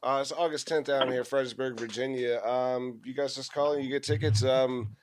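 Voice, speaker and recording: Man calling in, medium-pitched voice. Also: steady tone, a little fast, a little hiss or hum. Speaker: call-in guest, event organizer. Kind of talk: casual explanation on radio call.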